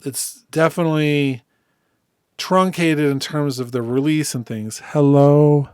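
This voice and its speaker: Slow-paced man demonstrating music software. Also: fairly high pitch, clean tone, dry recording, studio quality. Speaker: music software tutorial host.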